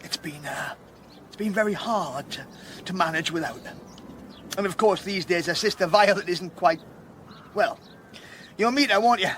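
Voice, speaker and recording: A man's voice: high voice, normal speed, pauses often, fairly bright tone, a little hiss or hum. An actor playing a genteel, affable male village vicar in a radio drama, fussy, polite and hesitant. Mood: sorrowful.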